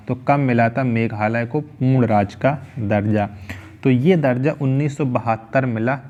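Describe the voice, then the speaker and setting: A man with a medium-pitched voice works as an exam-prep teacher, presenting a quiz-style lesson. He is methodical, reading each question out and restating it before he gives the answer. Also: a little mellow, lo-fi sound.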